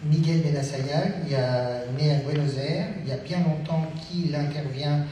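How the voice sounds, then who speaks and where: A man moderating a stage debate, introducing the guests in a halting way with many fillers. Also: fairly high pitch, steady tone, dark tone, recorded from a distance, slight background noise. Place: theatre stage. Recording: echoey hall, picked up from afar.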